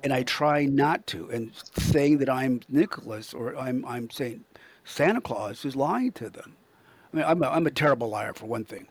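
A man's voice: mid-range voice, animated, normal speed. An older man who performs as Santa, chatting casually and earnestly in a rambling way, often repeating his words. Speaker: professional Santa Claus performer, interview guest. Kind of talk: casual anecdotal chat.

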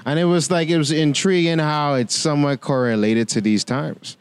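A Bahamian man hosting a casual podcast with a mixed Bahamian and American accent, talking in an animated, loose, conversational way. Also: a little high, normal speed, clear, resonant tone. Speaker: podcast host. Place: outdoors beside a street.

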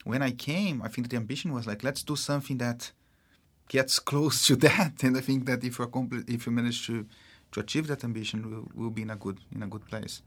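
A man from Brazil with a Brazilian accent, a marketing executive speaking as an interview guest in a halting way, full of fillers and restarts. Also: medium pitch, fairly even intonation, slightly rough. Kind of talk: casual interview talk.

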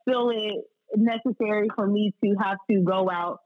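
A woman's voice: medium pitch, steady tone, normal speed, no echo, narrow, tinny audio. A woman speaking candidly and slangily as a guest sports reporter in a casual podcast conversation.

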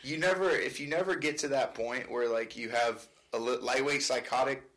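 An American man in his twenties chatting casually as a podcast guest, in a halting, rambling, slangy way. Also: medium pitch, fairly bright tone. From United States, California. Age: 20s. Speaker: podcast guest, amateur fighter. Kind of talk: casual chat.

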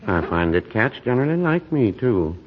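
An actor playing a mild, unflappable small-town druggist in a 1940s radio comedy, deadpan and hesitant in his understatement. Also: medium pitch, moderate pace, husky. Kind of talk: 1940s radio sitcom acting. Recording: muffled 1940s radio recording.

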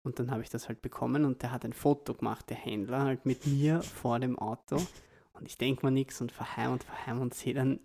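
Gravelly Viennese man chatting. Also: mid-range voice, steady tone, a little fast, darkish tone. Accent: Austrian, Viennese. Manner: rambling storyteller, laughs mid-sentence. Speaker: podcast co-host. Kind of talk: casual chat.

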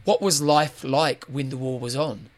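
A man's voice: mid-range voice, fairly even intonation, unhurried, crowd noise. A man hosting a podcast, talking in an upbeat, informal way and calling his listeners team. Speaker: podcast host. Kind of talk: casual podcast interview.

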